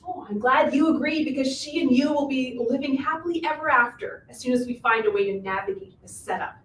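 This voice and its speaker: A woman acting a bossy, scheming, animated witch who insists on steering the story, in a live reading of a comic stage play. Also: high-pitched, brisk, close to an everyday voice. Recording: distant, echoey room recording with hiss.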